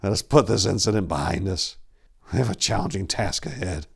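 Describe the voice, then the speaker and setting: A man's voice: low voice, brisk, gravelly, husky, exaggerated voice. A man voicing a proud, fiery elder warrior monk with formal, indignant speech in a fantasy story reading. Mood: grudging calm.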